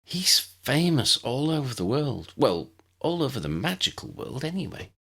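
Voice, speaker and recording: Man voicing a high-pitched, friendly schoolgirl witch. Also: very animated, moderate pace, a bit bright, slightly rough, exaggerated voice. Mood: earnest awe. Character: young schoolgirl witch, friendly, helpful guide. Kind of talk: audiobook character voice.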